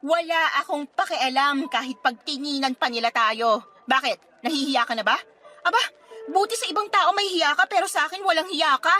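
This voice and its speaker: A woman's voice: high voice, normal speed, pushed and bright, soft background music. An actress with a Filipino accent playing a hurt, angry young girlfriend, confrontational and sarcastic, in a radio drama. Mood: indignant.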